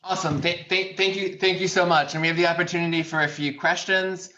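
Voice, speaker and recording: A man moderates an online meeting through dull, lo-fi call audio. His voice is fairly high and very bright. He opens the floor informally, in a slightly stop-and-start way. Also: steady tone, quick pace. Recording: dull, lo-fi video-call sound.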